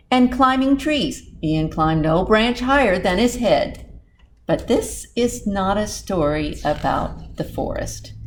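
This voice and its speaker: A woman's voice: on the low side, slight background noise. A woman reading a children's storybook expressively, with asides spoken directly to young listeners. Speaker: children's librarian, storytime reader. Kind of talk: animated children's storybook read-aloud with asides.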